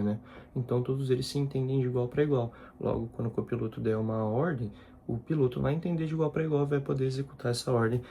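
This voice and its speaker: A man's voice: mid-range voice, creaky, dark tone, slight background noise. A man, a content creator talking casually in a personal vlog and often repeating himself. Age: 20s. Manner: informal, repeats himself, slangy asides.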